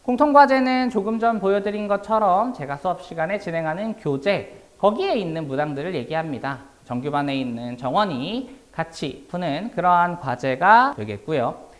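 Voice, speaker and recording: A male academy instructor walks listeners through a prepared class presentation. His voice is a little hoarse and worn, and his pitch moves widely as he explains things politely and formally. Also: animated, normal speed, hardly any pauses.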